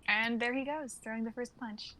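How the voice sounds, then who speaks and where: A young woman reads out script directions as part of a dramatic reading. Her voice sits a little higher than most women's, and she narrates the scenes as a performer would. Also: steady tone, moderate pace, pushed and bright, low-quality recording. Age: young adult. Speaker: reader of stage directions and actor in a script reading.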